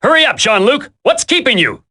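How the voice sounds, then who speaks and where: A man's voice: very high-pitched, very animated, brisk, pushed and bright, full character voice. A man voicing a brash, impatient squad leader in a video game, slangy, wisecracking and bossy. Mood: bossy, urgent.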